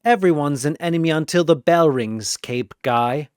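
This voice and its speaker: A man's voice: fairly high pitch, normal speed, clear, resonant tone, dry recording, studio quality, lightly acted. A man voicing a tough, blunt, scornful woman brawler in an audio battle story. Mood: defiant.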